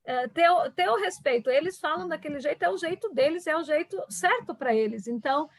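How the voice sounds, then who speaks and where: A woman's voice: high-pitched, lively intonation, fairly quick, dry recording. Hesitant woman. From southern Brazil. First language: German. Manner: hesitant, many restarts and fillers. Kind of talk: reflective conversation.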